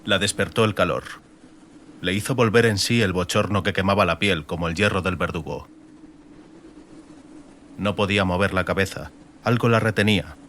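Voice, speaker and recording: Male narrator for a fantasy audiobook, fairly low register, dramatic scripted delivery. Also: moderate pace, pauses often, a bit bright, a little hiss or hum.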